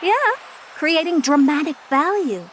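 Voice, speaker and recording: A woman's voice: high-pitched, animated, unhurried, background noise. An actress playing a haughty, blunt young female genius scientist in a video game, dry and self-assured. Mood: smug, blunt. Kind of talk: animated video game voice acting.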